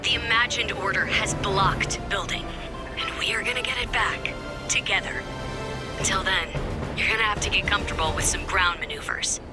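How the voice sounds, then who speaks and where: Over loud music comes an actress as a self-assured female resistance fighter from a video game. She gives mission briefings in a mid-pitched voice, cool and commanding, with a dry, wry edge. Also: normal speed, close to an everyday voice.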